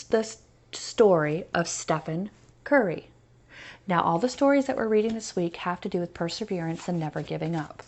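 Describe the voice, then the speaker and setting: An American woman teaching through a children's story read-aloud, pausing to explain and stress key points. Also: medium pitch, darkish tone.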